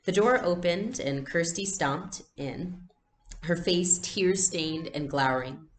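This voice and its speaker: A woman reading a storybook aloud as a teacher, in an expressive style with explanations. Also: fairly low pitch, slow pace, roomy sound, slight background noise.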